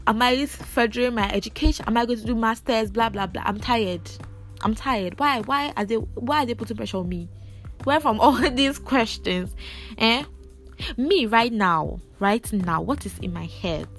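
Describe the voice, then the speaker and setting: A woman, hosting a casual solo podcast in a chatty, confiding way, her voice raspy from a cold. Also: fairly high pitch, moderate pace, faint music behind. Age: 20s. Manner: chatty, confiding, laughs often. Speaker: podcast host.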